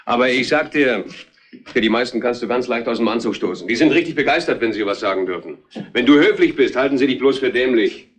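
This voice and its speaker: A man's voice: mid-range voice, animated, quick pace, close to an everyday voice. An actor playing a brash, hard-boiled policeman in a retro crime radio play, blunt and swaggering. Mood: brash, cynical. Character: brash, hard-boiled male policeman who favours rough interrogation.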